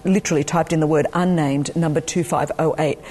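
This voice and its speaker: A woman's voice: low voice, fairly even intonation, a little fast, mellow, slightly rough. A woman in her forties with an Australian accent, Greek her first language, earnestly recounting her life as an interview guest. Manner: earnest, personal, frequent ums. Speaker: ministry leader, guest.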